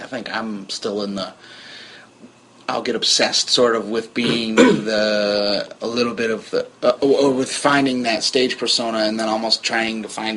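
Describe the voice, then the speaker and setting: A man's voice: fairly low pitch, fairly bright tone, slight background noise, low-quality recording. A man hosting a casual podcast conversation, musing aloud in a thoughtful, rambling way. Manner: thoughtful, rambling, many restarts. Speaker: podcast host.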